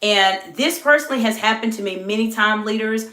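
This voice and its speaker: A middle-aged woman who is an executive coach, talking live to viewers and their chat while she is under the weather, with her voice lower than usual. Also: a little fast. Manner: warm greetings to viewers, coaching tone. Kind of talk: live-stream talk to chat. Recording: echoey room.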